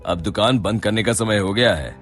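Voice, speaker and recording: A man playing a shrewd, wealthy shop boss in a Hindi moral-story cartoon, with a measured, theatrical way of talking. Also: lowish voice, fairly bright tone, soft background music.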